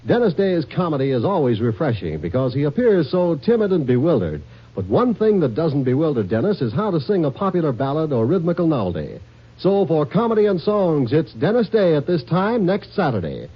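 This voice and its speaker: A man's voice: fairly high pitch, very animated, a little fast, slightly rough, dull audio. An American man reading scripted network promotions in 1950s radio style on an old broadcast recording.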